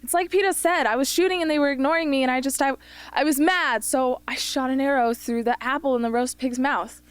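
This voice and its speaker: A woman's voice: high voice, brisk, no echo, close to an everyday voice. A woman playing a guarded, blunt teenage girl in a radio drama, earnest and understated. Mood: defensive. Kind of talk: hobby radio drama acting.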